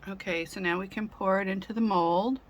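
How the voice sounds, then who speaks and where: A woman hosting a casual craft tutorial, speaking gently with frequent ums, her voice a little raspy from a sore throat. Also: medium pitch, steady tone, fairly quick. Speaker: craft channel host.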